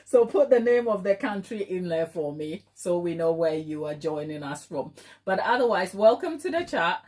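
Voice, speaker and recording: A woman's voice: fairly low pitch, lively intonation, normal speed, darkish tone. Chatty Ghanaian woman hosting a livestream. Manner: chatty, affectionate, playful, emphatic repetition. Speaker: baking livestream host. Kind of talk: casual live chat with viewers.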